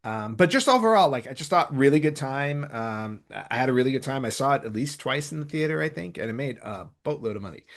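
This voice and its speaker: Male podcast host, mid-pitched voice, casual countdown chat. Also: animated, a little fast, vocal fry.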